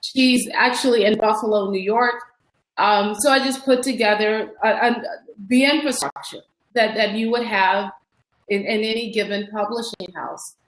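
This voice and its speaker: A woman's voice: medium pitch, steady tone, normal speed. Chatty fifties woman, echoey call audio. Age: 50s. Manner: chatty and rambling, with frequent restarts and laughs. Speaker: author guest. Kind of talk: casual interview conversation. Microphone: online video call.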